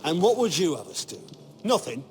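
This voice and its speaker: An actor playing a proud, hot-headed knight hungry for vengeance, indignant and scornful in a fantasy game drama. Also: male voice, fairly high pitch, sing-song, a little fast, slight background noise.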